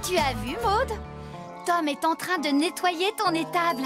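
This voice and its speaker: A woman voicing a kind, cheerful young farmer in a French-dubbed preschool cartoon, with expressive delivery. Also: very high-pitched, a little slow. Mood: encouraging. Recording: children's music behind the voice.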